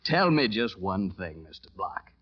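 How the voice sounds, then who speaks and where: A skeptical, coaxing police commissioner, pressing his questions with deliberate emphasis. It is classic radio drama acting on a dull, aged recording. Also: deep voice, sing-song, full character voice. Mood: incredulous, exasperated.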